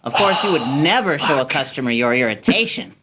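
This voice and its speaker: A woman's voice: low voice, very animated, a little fast, noisy background. A woman chatting casually as a stream host and performer, jokey and teasing, poking fun at herself and reading out viewer messages. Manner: jokey, teasing, self-deprecating. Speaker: stream host and performer in a radio play cold-read show. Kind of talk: casual unscripted group chat, reading viewer donations.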